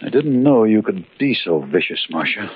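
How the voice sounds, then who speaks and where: An actor playing a suave, defensive magician accused of murder in an old-time radio mystery. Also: male voice, fairly low pitch, sing-song, moderate pace. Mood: cool, probing. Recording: muffled, noisy old radio drama recording.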